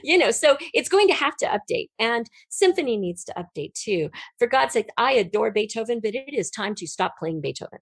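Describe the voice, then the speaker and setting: A woman's voice: fairly high pitch, big pitch swings, brisk, few pauses, dry recording. A middle-aged woman being interviewed, telling stories in an emphatic, candid, conversational way. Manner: emphatic, candid, laughs readily. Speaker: interview guest, audio drama writer. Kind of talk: animated conversational storytelling in an interview.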